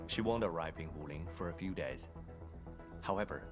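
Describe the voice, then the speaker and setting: An actor playing a stoic, terse military operative in a video game, speaking formally and bluntly. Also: male voice, sits low, lively intonation, moderate pace, over music. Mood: measured, reserved.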